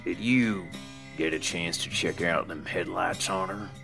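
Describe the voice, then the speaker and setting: A man voicing a crude, teasing trucker in his fifties who mutters and drawls in a low country manner. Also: unhurried, over music, close to an everyday voice. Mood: hushed. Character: gruff, crude older male trucker, teasing.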